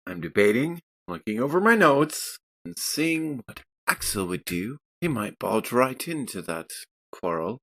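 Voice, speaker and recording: A man's voice: medium pitch, big pitch swings, a little slow, fairly bright tone. A man playing a tabletop roleplaying game, chatting casually as he thinks through his moves.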